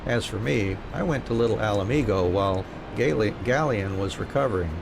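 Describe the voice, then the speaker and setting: Low, gravelly man streaming a game. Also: brisk. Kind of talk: reading game dialogue aloud with casual chat. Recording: steady noise behind the voice.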